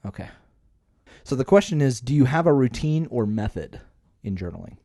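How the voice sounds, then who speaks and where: A man's voice: mid-range voice, sing-song, normal speed, dark tone, dry recording, clean studio sound. A man co-hosting a podcast, chatting casually and explaining things in a conversational way. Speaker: podcast co-host. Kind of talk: casual chat.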